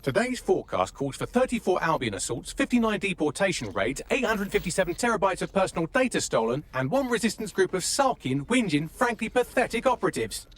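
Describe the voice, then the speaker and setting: A man's voice: sits high, big pitch swings, a little fast, hardly any pauses. A man voicing a snarky, verbose AI assistant in a video game, with a haughty, theatrical manner. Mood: sardonic. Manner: haughty, sardonic, theatrical, wordy. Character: snarky, verbose artificial-intelligence assistant with male voice.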